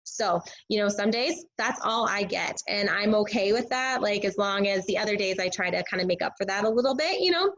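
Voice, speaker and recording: A woman, a teacher and fitness coach, sharing casual tips in a chatty way, full of filler words, her voice a bit hoarse and scratchy. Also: medium pitch, expressive, fairly quick, hardly any pauses, fairly bright tone. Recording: dull lo-fi recording.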